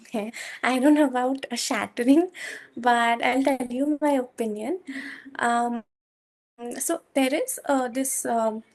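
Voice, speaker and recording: Soft-voiced young woman, measured and hesitant. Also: a little high. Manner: measured, hesitant with many fillers. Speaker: guest, software engineer. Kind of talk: interview answers.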